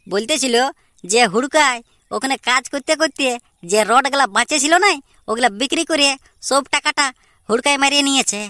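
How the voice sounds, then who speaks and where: A woman acts out a dutiful young village brother in a cartoon. The voice is fairly high and very bright, with a Purulia Bengali accent, earnest and questioning, in a dry recording. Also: fairly quick, no room sound. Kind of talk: amateur cartoon comedy dialogue.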